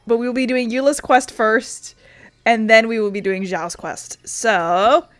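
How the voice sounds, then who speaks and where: A woman's voice: fairly high pitch, steady tone, measured pace, fairly bright tone, dry recording. A woman streaming a game with casual, chatty let's-play commentary that rambles along loosely. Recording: faint game music behind voice.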